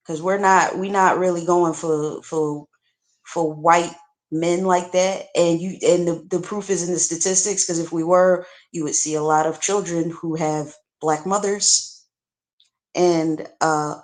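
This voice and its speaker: A woman speaking bluntly and frankly as a panelist in a casual livestream discussion, often restarting her sentences. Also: fairly low pitch. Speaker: podcast panelist.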